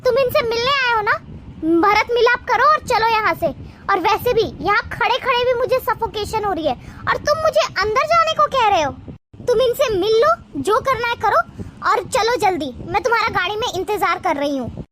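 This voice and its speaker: A woman voicing a haughty young city wife, scornful, bossy and demanding, in an animated moral story. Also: extremely high pitch, normal speed, noisy background. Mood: disgusted. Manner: disdainful, demanding.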